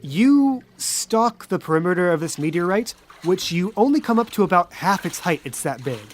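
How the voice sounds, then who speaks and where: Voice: medium pitch, very animated, moderate pace, faint music behind. A game master narrating a tabletop role-playing game with descriptive, folksy phrasing.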